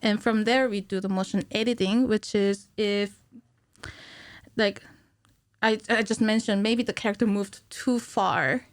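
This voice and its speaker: Female podcast guest, thirties, mid-range voice, conversational explainer who pauses to think; dry studio recording. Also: mid-range voice, steady tone, dry recording. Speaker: podcast guest, cinematic animator. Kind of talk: conversational technical explanation in an interview. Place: small indoor podcast studio. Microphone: studio microphone on a stand.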